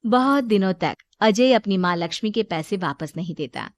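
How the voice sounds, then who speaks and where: A woman's voice: medium pitch, lively intonation, moderate pace, no room sound. A woman narrator reading a scripted Hindi moral story.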